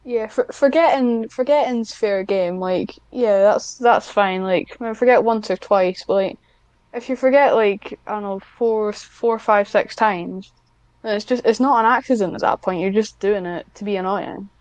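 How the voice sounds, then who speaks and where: A woman's voice: mid-range voice, normal speed, darkish tone, clear, resonant tone, dry recording, poor audio. A woman chatting casually as an interview guest, speaking in a hesitant, self-conscious way with frequent restarts. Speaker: podcast guest, scriptwriter.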